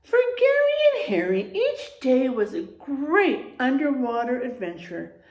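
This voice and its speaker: A woman teacher reading a children's storybook aloud in an expressive way, adding explanations and checking in with her young listeners. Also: sits high, a little slow. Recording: roomy, echoing room sound.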